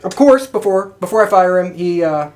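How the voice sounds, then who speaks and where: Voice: medium pitch, expressive, moderate pace, darkish tone. A podcast co-host talking casually and informally with friends.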